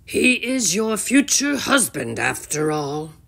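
A woman voicing a stern, exasperated king and father in a storybook, raspy, scolding and commanding. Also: mid-range voice, very animated, takes it slowly, a bit bright, exaggerated voice.

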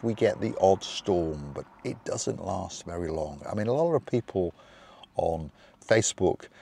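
A middle-aged man hosting a vlog in casual chat, giving cheerful shout-outs and calling viewers by playful mock noble titles. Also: deep voice, slight background noise. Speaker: vlog host.

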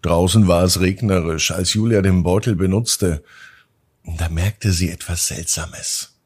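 A man hosting a children's bedtime podcast, narrating in a gentle, drawn-out storytelling manner. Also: deep voice, steady tone, slightly rough.

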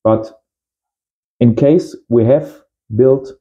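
A man's voice: medium pitch, very slow, mellow, lo-fi sound. A man in his thirties giving a casual hands-on tutorial as host, in simple short phrases. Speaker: host of amateur radio channel. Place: small indoor home workshop.

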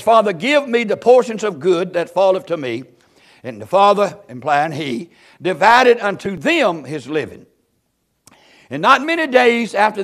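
A man preaching a sermon, expounding scripture with emphatic, repeated phrases and questions put to his listeners. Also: fairly high pitch. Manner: emphatic, repetitive cadences, rhetorical questions to listeners. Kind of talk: sermon, expounding Bible verses to a congregation.

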